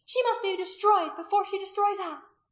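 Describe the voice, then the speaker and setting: A woman voicing a venomous, imperious pagan high priestess in an audiobook, declaiming accusations as if to a crowd. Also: fairly even intonation, normal speed, a bit bright, roomy sound. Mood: alarmed, vengeful. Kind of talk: dramatic audiobook character acting.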